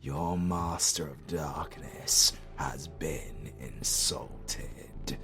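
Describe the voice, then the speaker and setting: An actor with a British accent playing a pompous, melodramatic retired dark lord in a comedy audio roleplay, husky and easily outraged. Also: male voice, very deep voice, drawn-out words, faint music behind, exaggerated voice. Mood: menacing, summoning. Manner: grandiose, melodramatic, sardonic.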